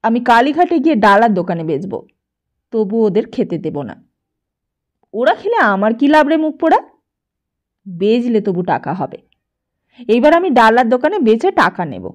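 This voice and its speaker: A woman's voice: on the high side, very animated, normal speed, long pauses. A woman voicing a cantankerous, miserly old grandfather in an audiobook, scolding and cursing as he rants. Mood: furious, bitter. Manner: shouting, cursing, ranting.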